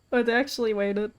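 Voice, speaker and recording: A woman's voice: a little high, muffled recording. A woman streaming a video game, chatting casually with playful asides and reading game text aloud over music.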